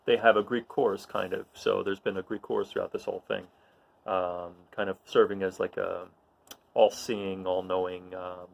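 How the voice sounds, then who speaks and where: A man hosting a read-aloud, talking casually and haltingly between readings in a self-deprecating way. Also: low-pitched, level tone, gravelly. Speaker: host reading aloud to viewers. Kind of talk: casual introductions to dramatic readings.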